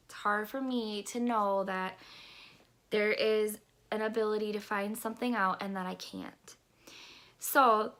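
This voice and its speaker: A woman in her thirties talks casually into her camera from a small bedroom, rambling with lots of fillers and restarts. Her voice has a gravelly fry, and her nose sounds stuffy and congested. Her pitch sits in an ordinary middle range. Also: sharp tone. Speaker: vlogger. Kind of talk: casual pregnancy update vlog.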